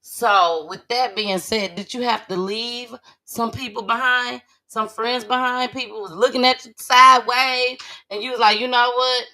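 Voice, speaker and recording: Bright-voiced woman hosting a casual chat. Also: on the high side, fairly even intonation, unhurried. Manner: emphatic, draws out repeated words. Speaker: talk show host, entrepreneur. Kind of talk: casual chatty interviewing.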